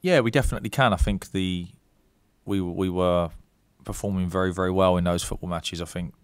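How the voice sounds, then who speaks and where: A man in his thirties, a football manager at a press conference, giving measured answers with frequent ums and restarts into a desk mic. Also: low voice, fairly even intonation, clear, resonant tone, no echo. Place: club press room.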